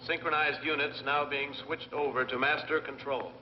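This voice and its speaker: A man's voice: a little high, fairly even intonation, normal speed, background noise, close to an everyday voice. An actor playing a matter-of-fact man of a space project in a dubbed film. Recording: reverberant, echoey, dull old film soundtrack.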